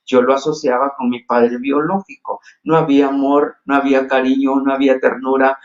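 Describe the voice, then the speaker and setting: A man's voice: mid-range voice, little pitch movement, fairly quick, muffled recording. A man from Honduras with a Honduran Spanish accent, preaching a sermon earnestly with frequent restarts and fillers. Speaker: pastor.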